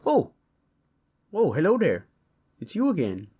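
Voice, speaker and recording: A man's voice: high voice, huge pitch swings, a little slow, mellow, husky, exaggerated voice. A man playing a hot-tempered, protective beast father in a fantasy audio drama, speaking in a blunt, threatening way. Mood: suspicious.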